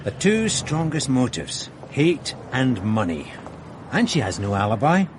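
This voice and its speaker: Police sergeant: plain-spoken subordinate in a radio mystery, expressive medium pitch, noisy setting. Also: male voice, measured pace. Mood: matter-of-fact. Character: deferential, matter-of-fact male police sergeant. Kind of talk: radio drama acting.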